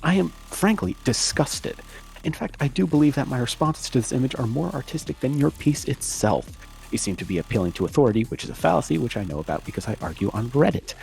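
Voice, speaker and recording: A man's voice: medium pitch, expressive, fast, creaky, a little mellow, faint music behind. A man playing a pompous, scornful internet commenter in an overwrought comedic dramatic reading. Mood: disgusted, condescending. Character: pompous, outraged male internet commenter, disgusted and theatrical.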